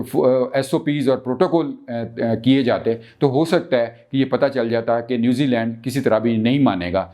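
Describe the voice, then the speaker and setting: A man with a Pakistani accent speaks to viewers as a political analyst and show host, deliberately and with frequent drawn-out hesitations. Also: mid-range voice, darkish tone. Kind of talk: political commentary and storytelling to viewers.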